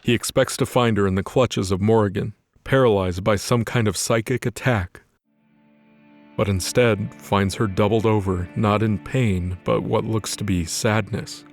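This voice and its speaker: Lowish-voiced male story narrator. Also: fairly even intonation, a little slow, faint music behind. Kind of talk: scripted dramatic story narration.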